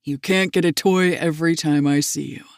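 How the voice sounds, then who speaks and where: A woman's voice: low voice, fairly even intonation, normal speed, no room sound, clean studio sound, voice pushed down. A woman voicing a kindly, joking old grandfather who laughs easily. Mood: jovial. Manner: warm, laughing, gently teasing.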